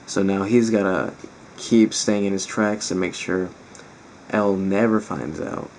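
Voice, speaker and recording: A man talks casually to his viewers as a reaction host, rambling informally. His voice is strained and raspy, on the low side with a lot of creak, over slight noise. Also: fairly even intonation, a little slow, a little mellow. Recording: faint background noise.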